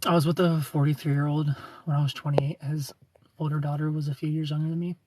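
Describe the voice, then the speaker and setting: A man's voice: on the high side, little pitch movement, fairly quick, background noise. A man in his thirties hosting a casual livestream, reading viewer comments aloud, joking about himself and laughing often. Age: 30s. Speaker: livestream host.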